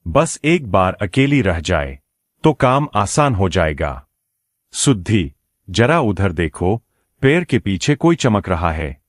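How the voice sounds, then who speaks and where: A man's voice: deep voice, big pitch swings, slow, no room sound, clean studio sound, full character voice. A man voicing a sly, scheming wolf in a cartoon moral story, oily and mock-friendly in a theatrical way. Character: sly scheming male wolf, falsely friendly, muttering plots.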